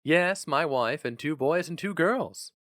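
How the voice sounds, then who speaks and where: Guy doing a polite young job hunter in a story reading, jaunty, acting casual, voice pitched up. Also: lively intonation, unhurried, a bit bright, no echo, studio quality. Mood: earnest, polite. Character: jaunty urbane young male job applicant, quietly eager.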